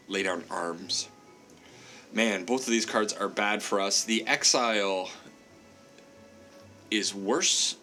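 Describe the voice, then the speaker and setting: Stuffy-nosed guy with a low voice talking through his card game, bright and chatty, laughs at his own mistakes, soft music behind. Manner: chatty, self-deprecating, thinks aloud with frequent laughs. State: congested from a cold. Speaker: gameplay commentator. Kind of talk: casual card game commentary.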